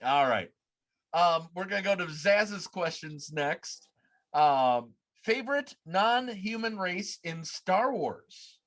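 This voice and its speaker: A man hosting a podcast over a video call, putting quick-fire questions in a casual chat. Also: sits high, expressive, fairly bright tone. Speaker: podcast host. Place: home room, on a video call.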